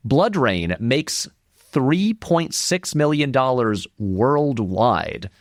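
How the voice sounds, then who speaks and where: A man's voice: medium pitch, very animated, drawn-out words, dry recording, studio quality. A man giving casual, conversational film commentary as an interview guest, often hedging and qualifying his points.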